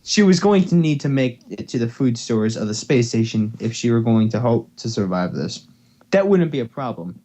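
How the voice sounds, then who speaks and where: Playful young man reading, very animated pitch. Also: normal speed. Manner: giggly, stumbling, playful. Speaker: reader. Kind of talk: casual amateur story narration with asides. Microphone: headset or desktop mic on voice call.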